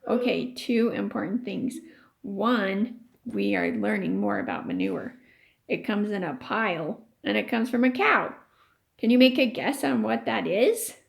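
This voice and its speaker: A woman's voice: medium pitch, animated, a little slow, vocal fry. A woman teacher reading a story aloud to children, stopping to explain and ask questions. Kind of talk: read-aloud lesson for children.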